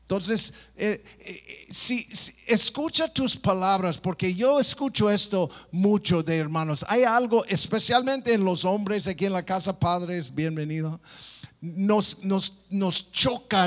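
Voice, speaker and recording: A middle-aged man preaching a sermon, playful, mimicking people, with stop-start phrasing. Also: sits high. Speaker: pastor.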